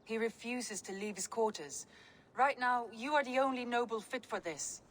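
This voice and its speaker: An actress playing an earnest settlement steward who gently pleads for help. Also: female voice, a little high, normal speed, a little hiss or hum, lightly acted.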